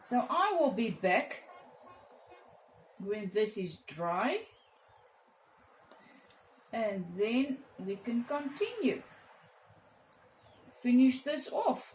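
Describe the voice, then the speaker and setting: A woman with an Afrikaans accent, chatting with viewers as she teaches a painting lesson and calling out cheerful exclamations as she works. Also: medium pitch. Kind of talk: casual step-by-step painting lesson. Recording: echoey room with faint background noise.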